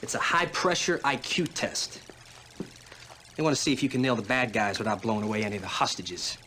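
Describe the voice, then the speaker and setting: Cocky soldier buddy, faint noise. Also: male voice, lowish voice, a little fast, pushed and bright, low-quality recording. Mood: wry. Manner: slangy, teasing. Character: laid-back, cocky male fellow soldier, joking buddy. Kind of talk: casual video game cutscene acting.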